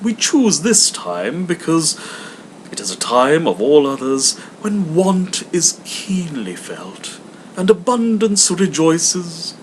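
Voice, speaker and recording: A man voicing a courteous, earnest gentleman collecting for charity in an audiobook, formal and a little hesitant. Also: a little high, sing-song, takes it slowly, a little hiss or hum, voice pushed up, poor audio. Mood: appealing. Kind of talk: dramatized audiobook character voice.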